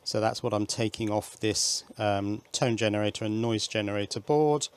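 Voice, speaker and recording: A man's voice: fairly low pitch, normal speed, clean tone. A man presenting an informal hands-on electronics demonstration, thinking aloud and correcting himself as he explains.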